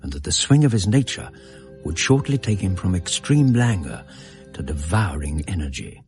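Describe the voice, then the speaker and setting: Reflective English gentleman storyteller, very low voice, animated intonation, literary radio drama narration, measured delivery. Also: faint music behind.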